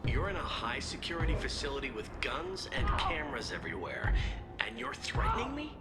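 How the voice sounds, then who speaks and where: An actor playing a menacing, sneering prison guard in a video game, surly and defiant. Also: male voice, a little high, huge pitch swings, a little fast, creaky, over loud music, heavily acted.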